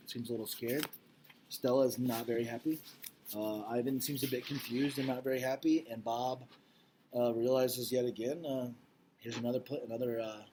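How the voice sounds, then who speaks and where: A man's voice: medium pitch, a little mellow, slight background noise. A man reading a children's storybook aloud as narrator. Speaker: read-aloud reader.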